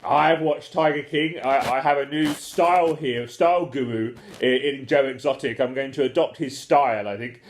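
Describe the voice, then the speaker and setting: A man's voice: medium pitch, normal speed, hardly any pauses, a little hiss or hum. A man chatting casually and comically, with a jokey, self-deprecating and slightly rambling, hesitant manner.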